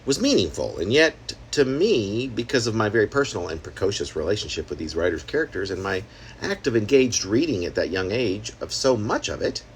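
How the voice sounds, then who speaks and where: A man's voice: lowish voice, sing-song, fairly quick, slight background noise. A man reading his own experimental prose poem aloud in a conversational, wry manner, slipping in asides and rhetorical questions. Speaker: poet reading own work.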